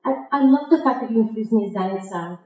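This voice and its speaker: A woman speaking to a conference audience like a theatrical storyteller, with rhetorical questions and emphatic pauses, in a very echoey, muffled recording. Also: fairly high pitch, expressive, a little mellow, soft background music. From Croatia. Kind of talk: marketing keynote to a live audience. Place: indoor conference stage.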